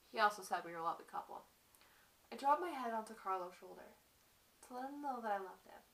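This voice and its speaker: A young woman performing a dramatic monologue as a lovestruck battered wife, halting and confessional, on a distant mic. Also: medium pitch, fairly bright tone, lightly acted. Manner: halting, confessional, repeats phrases. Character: lovestruck, battered wife recalling her violent husband.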